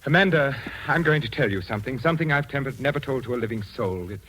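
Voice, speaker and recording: An actor playing a grave, secretive widowed father in 1940s radio style, halting and melodramatic. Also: mid-range voice, a bit bright, slight background noise, natural voice, rough recording. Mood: grave, confiding. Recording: vintage 1940s radio broadcast.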